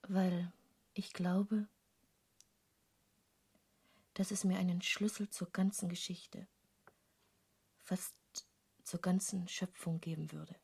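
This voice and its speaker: Breathy, half-whispering, brooding painter. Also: female voice, on the low side, flat delivery, warm tone, heavily acted. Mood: yearning, intense. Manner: intimate, deliberate, brooding. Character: intense female painter hungry for forbidden knowledge, musing. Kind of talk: literary German radio play acting.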